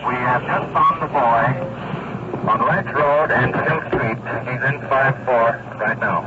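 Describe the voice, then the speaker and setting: A man giving halting, official dispatch messages over a police radio on an old recording. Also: mid-range voice. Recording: muffled, very noisy police radio transmission.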